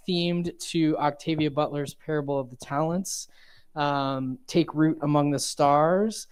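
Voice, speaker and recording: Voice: medium pitch, darkish tone, clean tone. A host giving a casual, upbeat welcome and speaker introductions at a live bookstore event, with some fillers.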